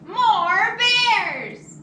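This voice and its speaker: A performer voicing excited, insistent children who interrupt a storybook with a called-out refrain. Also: extremely high pitch, very slow, echoey room, slight background noise, exaggerated voice. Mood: excited, demanding.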